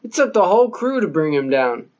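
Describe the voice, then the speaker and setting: A man's voice: high voice, big pitch swings, fairly quick, darkish tone, highs cut off. A man voicing a blunt male police lieutenant who explains things matter-of-factly.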